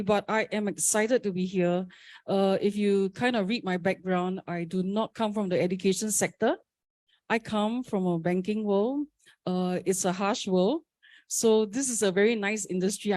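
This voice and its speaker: A woman's voice: medium pitch, darkish tone, dry recording. Woman executive, conversational and self-deprecating, dry close-miked recording. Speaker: startup CEO on panel. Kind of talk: conference panel talk.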